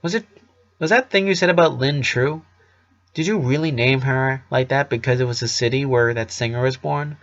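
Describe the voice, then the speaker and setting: A man's voice: mid-range voice, normal speed, fairly bright tone, lightly acted. Man as young storybook boy, inquisitive, playful, teasing, muffled lo-fi recording. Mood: curious. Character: curious, playful eleven-year-old boy in a storybook.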